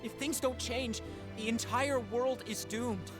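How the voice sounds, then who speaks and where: A man's voice: extremely high pitch, fairly even intonation, measured pace, music in the background. A man playing an earnest, troubled young warrior in a dramatic game cutscene, brooding and intense. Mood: urgent, desperate. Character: earnest, troubled young male keyblade leader.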